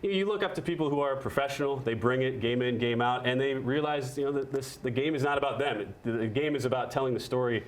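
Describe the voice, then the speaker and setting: A man's voice: medium pitch, quick pace, few pauses. A young man, a radio play-by-play announcer, speaking conversationally as a guest in a studio interview. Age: young adult.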